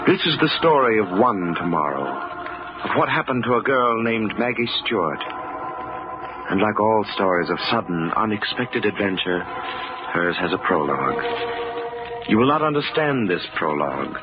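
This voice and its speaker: A man's voice: on the low side, very animated, background noise. An actor as an ominous male storyteller, giving solemn, theatrical narration in an old-time radio drama. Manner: portentous, theatrical, deliberate. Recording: dull old radio recording.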